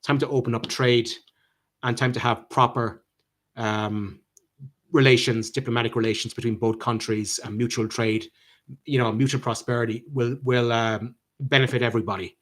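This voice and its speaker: A man's voice: medium pitch, steady tone, a bit bright. Hesitant Irish man answering viewer questions. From Ireland. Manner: halting, with many ums and restarts. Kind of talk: live-stream chat reading and answering comments.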